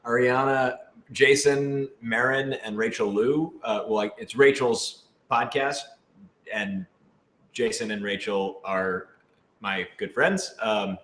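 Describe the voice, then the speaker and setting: A man's voice: medium pitch, steady tone, slow, fairly bright tone. A man chatting casually in a group, playful and teasing in short interjections. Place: indoor room with other people. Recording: roomy, echoey room sound.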